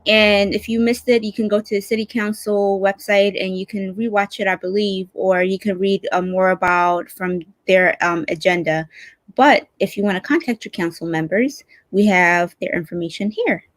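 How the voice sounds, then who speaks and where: A woman's voice: mid-range voice, normal speed, no room sound. Enthusiastic woman hosting, close dry sound. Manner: enthusiastic, effusive. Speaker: online show host. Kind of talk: casual chatty interview.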